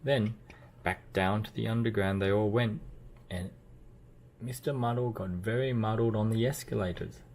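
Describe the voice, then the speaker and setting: A man reading a children's storybook aloud as narrator, with expressive delivery and playful emphasis. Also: fairly low pitch, moderate pace, a little hiss or hum. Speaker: children's book reader.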